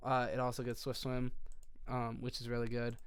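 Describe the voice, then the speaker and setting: A young man with a hoarse voice that keeps giving out, casually walking through a game strategy in a rambling, self-correcting way. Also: medium pitch, completely flat delivery, moderate pace, no echo. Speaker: video creator.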